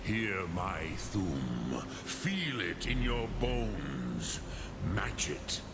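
An actor playing an ancient, philosophical dragon in a fantasy video game, grave and patient, in a solemn, rumbling voice. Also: male voice, wildly swooping pitch, creaky, heavy background noise, full character voice. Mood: solemn, commanding. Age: older. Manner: slow, ponderous, solemn, peppered with archaic foreign words. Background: music.

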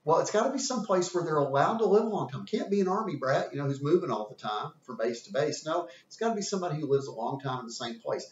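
A man's voice: fairly high pitch, fast talker, few pauses. A man in his fifties with a Southern US accent, giving a folksy classroom lecture as a teacher in a room with a whiteboard. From American South. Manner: animated, folksy, gesturing with hands. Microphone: camera at a distance. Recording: roomy, distant, dull webcam sound.

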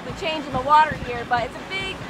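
Woman, high voice, bubbly fishing vlogger, talks to the viewers, open boat at sea, action camera close, music behind. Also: lively intonation, fast. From Florida. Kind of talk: excited on-camera fishing commentary. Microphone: action camera held close.

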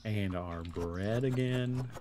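A man's voice: fairly low pitch, slow pace, warm tone, a little hiss or hum. A man casually commentating while he plays a store management game, muttering his thoughts aloud in a laid-back way. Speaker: gameplay video host. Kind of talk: casual let's play commentary.

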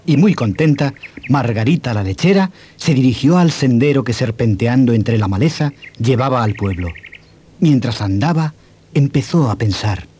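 A man's voice: medium pitch, animated, moderate pace, warm tone, breathy edge. A man with a Castilian Spanish accent narrating a scripted children's story on an old cassette. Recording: 1980s cassette, music behind.

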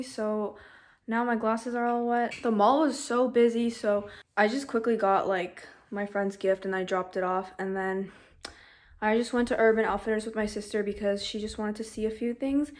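A seventeen-year-old girl from Canada, chatting casually to the camera in her bedroom, upbeat and talkative with lots of "like" and "literally". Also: on the high side. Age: 17, teenager. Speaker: vlogger. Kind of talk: casual vlog chat to camera. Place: bedroom at home, indoors.

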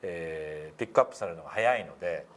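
A man's voice: low-pitched, quick pace. A man working as an English pronunciation instructor, coaching step by step with frequent encouraging feedback in an indoor meeting room.

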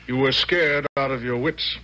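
An actor playing a calm, commanding general in a seventies radio drama. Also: male voice, mid-range voice, moderate pace, lightly acted, low-quality recording. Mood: calm, probing. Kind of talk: 1970s radio drama acting.

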